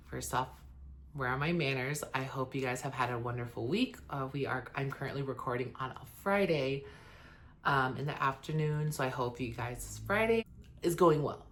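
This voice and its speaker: Hoarse, chatty man vlogging an unboxing. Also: fairly bright tone. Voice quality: lower than usual because of the illness. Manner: chatty and rambling, with frequent ums and likes; eager and earnest about the doll. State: hoarse from being sick. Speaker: doll collector and channel host. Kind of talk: casual unboxing and review vlog.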